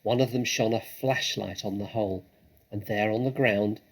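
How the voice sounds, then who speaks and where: A man narrating a children's story dramatically, with expressive, suspenseful phrasing. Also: lowish voice, normal speed. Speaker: storybook reader.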